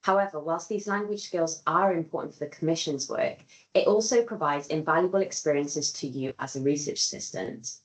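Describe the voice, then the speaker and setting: A woman presenting in a webinar with a clear, scripted delivery. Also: sits low, brisk, rough recording.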